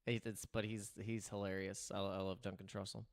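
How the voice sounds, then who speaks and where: A man with a naturally raspy voice hosting a casual livestream, talking loosely with lots of restarts and filler words into a streaming microphone. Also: on the low side, little pitch movement, a little fast. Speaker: crypto livestream host. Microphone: streaming microphone through streaming software.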